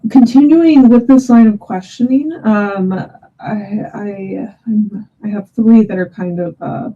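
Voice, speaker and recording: A woman hosting a webinar, putting questions to a guest with hesitant, halting phrasing over a dull video call microphone. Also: medium pitch. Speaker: host, English teacher. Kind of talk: webinar interviewing, reading out audience questions.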